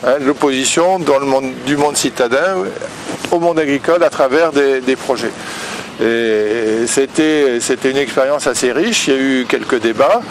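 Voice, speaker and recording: A man speaking as a local elected official in an interview, halting and searching for his words. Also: medium pitch, background noise. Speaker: local elected official, vice-president of a rural district council. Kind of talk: spoken interview testimony.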